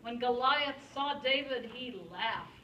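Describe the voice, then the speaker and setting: Female narrator for a puppet show: high, unhurried storytelling, picked up from afar in a roomy, noisy space. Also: pushed and bright, highs cut off. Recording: echoey room, distant mic, clear noise.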